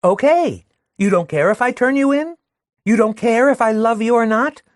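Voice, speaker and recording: A man's voice: lively intonation, normal speed, no room sound, clean studio sound. A man voicing a furious young working-class woman who rails and jeers with scorn. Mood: accusing. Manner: shrill, jeering, railing.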